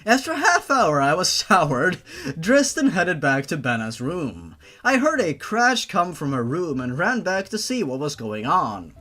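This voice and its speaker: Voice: mid-range voice, very animated, measured pace, bright, forceful tone. A narrator reading fan fiction dramatically, with a theatrical, sarcastic manner. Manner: theatrical, sarcastic, sweary outbursts. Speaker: narrator and commentator.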